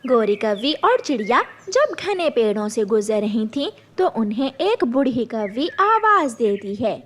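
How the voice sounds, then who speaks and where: High, animated woman storyteller. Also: a little slow. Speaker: narrator. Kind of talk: scripted children's story narration. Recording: clearly noisy background.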